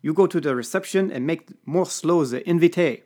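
A man voicing an excitable older Belgian butler with a French accent who speaks broken English and hisses when angry. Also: a little high, fairly quick, voice pushed up, lightly acted. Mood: vengeful. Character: panicky older male Belgian butler, fiery.

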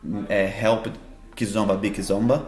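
A man with a Brazilian Portuguese accent, answering interview questions in a halting way as he searches for words. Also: fairly low pitch, fairly even intonation, a little mellow, echoey room, faint music behind. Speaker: dance teacher and festival organizer.

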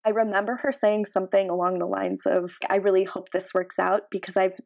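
A woman's voice: mid-range voice, level tone, brisk, vocal fry, darkish tone. A woman co-hosting a podcast, casually discussing sports and giving reasoned opinions. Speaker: podcast co-host.

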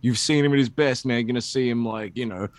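A man co-hosting a podcast in casual chat, rambling in an animated, opinionated and sweary way. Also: medium pitch, fast talker, no room sound. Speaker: comic book podcast co-host.

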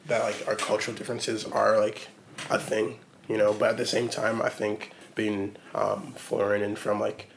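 Low gravelly guy in his 20s, lots of ums, kinda laid back, faint hiss behind. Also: completely flat delivery, moderate pace, poor audio. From Portland, Oregon, USA. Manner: hesitant, many ums and likes. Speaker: interviewee. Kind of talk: relaxed conversational interview.

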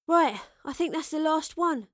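A woman doing a brash, high-voiced young helper. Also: lively intonation, moderate pace, no room sound, studio quality. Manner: blunt, colloquial and eager.

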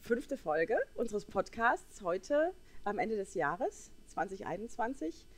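A woman's voice: medium pitch, sing-song, slow pace. A woman hosting a podcast in a casual, conversational interview style. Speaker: podcast host.

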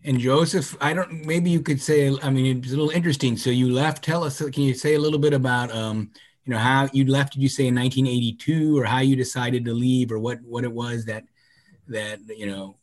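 A man's voice: mid-range voice, steady tone, brisk. Casual male panel moderator. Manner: loose, restarting questions mid-sentence. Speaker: moderator, theology professor.

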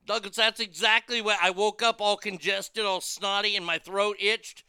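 Man, high-pitched bright talk host, irreverent and crude, dry close mic. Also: flat delivery, moderate pace, clean tone, no room sound. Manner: loose, irreverent, crude, reads listener messages aloud. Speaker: radio show host. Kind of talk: casual talk radio banter.

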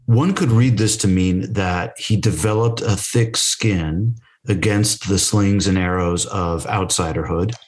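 A man hosting a podcast interview, reading a passage aloud and then posing careful prepared questions. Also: low-pitched. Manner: measured, reads quotes then asks.

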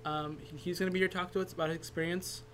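A podcast host in their twenties telling stories in casual chat, animated, teasing and jokey. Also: medium pitch, rapid-fire, slight background noise. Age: 20s.

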